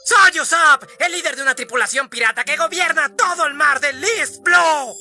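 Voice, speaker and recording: A woman's voice: sits high, normal speed, sharp tone, soft background music. A woman voicing a boastful but cowardly young male pirate in an anime fandub, theatrical and easily panicked.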